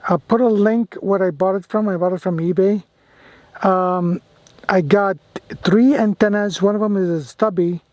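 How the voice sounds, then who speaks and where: A man's voice: high voice, steady tone, moderate pace, darkish tone, no room sound. A man vlogging casually about amateur radio gear, talking enthusiastically and often repeating his phrases. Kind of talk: casual product demo and radio operating.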